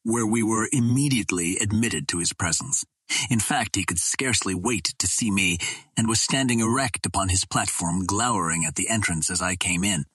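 A man reading a first-person adventure story as a dramatic, expressive audiobook narrator. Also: lowish voice, moderate pace, husky, no echo.

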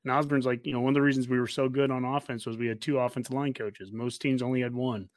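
A man's voice: medium pitch, fairly even intonation, fast, dry recording. An American man hosting a podcast in a casual sports interview chat. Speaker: podcast host.

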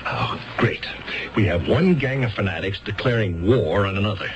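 An actor in a radio mystery drama playing an incredulous, exasperated defense attorney who stammers and gets wry. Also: male voice, on the low side, lively intonation, moderate pace, slight background noise, natural voice. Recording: muffled old radio broadcast.

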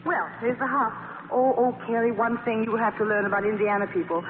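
Woman acting a brisk, high-voiced bossy lady editor. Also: steady tone, natural voice. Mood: lively, dry. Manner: commanding, dryly witty. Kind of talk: 1940s radio acting. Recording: muffled, noisy 1940s radio recording, reverberant.

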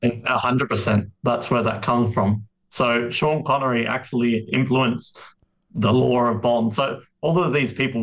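A man with a middle-pitched voice talks as a podcast co-host. His delivery is casual and conversational, like an informal discussion among peers. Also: fairly bright tone, rough recording.

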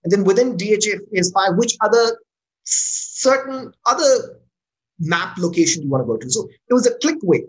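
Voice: medium pitch, moderate pace, rough recording. A startup founder from Pakistan, answering interview questions casually and often checking in with "right?". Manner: frequent "right?" tag questions, restarts words when excited.

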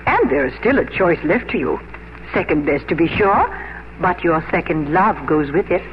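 Actress as lively, scheming older aristocratic lady. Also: fairly low pitch, normal speed, lightly acted. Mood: wry coaxing. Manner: arch, theatrical, commanding. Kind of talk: 1950s radio drama acting. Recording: dull old radio, faint noise.

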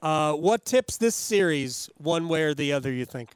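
Clear, higher-voiced male sports radio host. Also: lively intonation, normal speed, no echo. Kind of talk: conversational sports radio interview.

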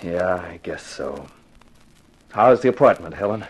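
An actor playing a wisecracking, slangy private detective in a 1950s radio crime drama. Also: male voice, low-pitched, animated, measured pace, breathy edge, full character voice, rough recording. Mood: gentle, subdued. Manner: slangy, wry, tough-guy talk. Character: wisecracking hard-boiled private detective, narrating his own case.

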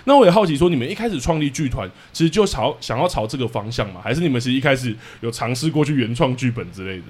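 Fast-talking Taiwanese man hosting a podcast. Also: medium pitch, animated, darkish tone. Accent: Taiwanese Mandarin. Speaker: podcast host. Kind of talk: casual podcast interview.

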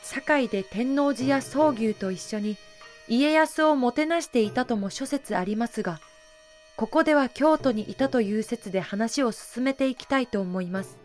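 A woman's voice: on the high side, expressive, moderate pace, darkish tone, faint music behind. A woman giving polite, explanatory scripted narration for a historical audio drama.

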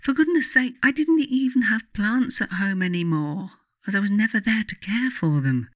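A woman narrates in a reflective, scripted style suited to an audiobook or guided meditation. Her phrasing is measured and deliberate, broken by frequent pauses. The recording is dry, with little room sound around the voice. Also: mid-range voice, expressive, dry recording. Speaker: author reading own book, guided meditation narrator.

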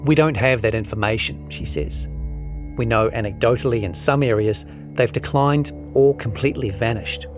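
Kiwi guy reading a long article out loud, scripted narration, normal mid pitch, music going behind him. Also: normal speed.

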